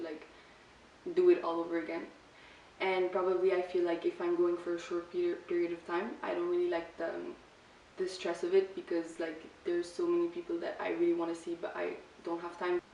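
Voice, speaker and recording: Low, creaky eighteen-year-old woman in an echoey room. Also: far from the mic, muffled recording. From Lebanon. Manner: relaxed, reflective, many "like" fillers. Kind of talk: casual personal answers to camera. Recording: echoey room, speaker set back from the mic, faint hiss.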